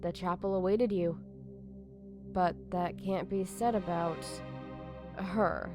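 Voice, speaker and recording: An actress playing a calm, authoritative handler of angels in a sci-fi visual novel, speaking in a grave, deliberate way. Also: female voice, lowish voice, over music.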